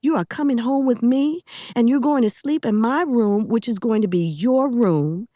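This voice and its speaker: A woman reader plays a fierce young girl from a storybook, pitched high and swinging widely as she scolds. She is bossy, exasperated and emphatic, on a close, dry, slightly dull recording. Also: normal speed, dark tone, no echo, close to an everyday voice. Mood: furious, commanding.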